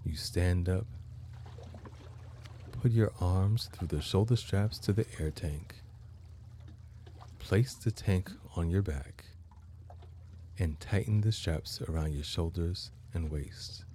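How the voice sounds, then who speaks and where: A man hosting a guided meditation, narrating in measured, deliberate phrases with long pauses. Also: deep voice, mellow, slight background noise. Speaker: guided meditation podcast host.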